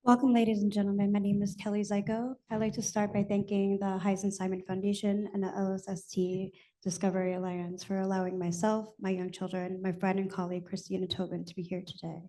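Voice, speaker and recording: A woman opens her conference flash talk formally and speaks slowly and carefully. Her voice is mellow and dark, with little pitch movement. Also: little pitch movement. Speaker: researcher presenter.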